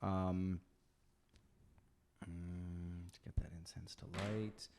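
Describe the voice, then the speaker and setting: A man co-hosting a casual comedy podcast, chatting in an animated, jokey way and prone to laughter. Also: deep voice, drawn-out words, a little hiss or hum. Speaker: podcast co-host.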